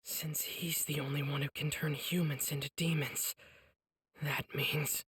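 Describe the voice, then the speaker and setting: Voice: medium pitch, level tone, a little slow, a bit bright, slightly rough, no room sound, clean studio sound. An actor voicing an earnest, polite teenage boy swordsman in an anime video game dub. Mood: quiet, sombre.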